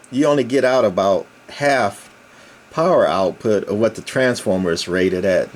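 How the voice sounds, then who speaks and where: A man presenting an informal hands-on technical demo in a halting, folksy, explanatory way, recorded indoors on a camera microphone. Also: fairly low pitch. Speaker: channel host. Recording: clear background noise, room ambience.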